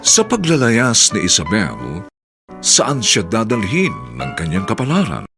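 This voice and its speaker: Male Filipino radio drama announcer. Lowish, sweeping, dramatic delivery over prominent music. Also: wildly swooping pitch, measured pace. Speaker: announcer and narrator.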